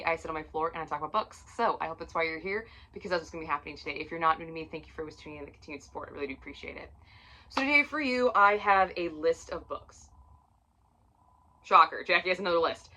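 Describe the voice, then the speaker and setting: A woman's voice: fairly low pitch, sharp tone. A woman in her late thirties vlogging about books from her floor at home, chatty and self-mocking with plenty of swearing. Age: 30s. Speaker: book vlogger. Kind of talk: casual chat to camera.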